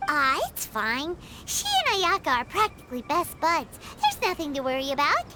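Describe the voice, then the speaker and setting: A woman voicing a tiny, childlike fairy companion in an anime-style game, squeaky, bubbly and blunt. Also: big pitch swings, moderate pace, background noise. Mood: excited, confident. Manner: bubbly, informal, exclamatory.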